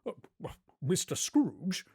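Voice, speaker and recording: A man voicing a portly, kindly old gentleman, flustered and astonished, with stammering exclamations in a storybook radio play. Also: high voice, huge pitch swings, slow, creaky, slightly rough, no room sound, studio quality, pitched above the performer's own voice, heavily acted. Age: older.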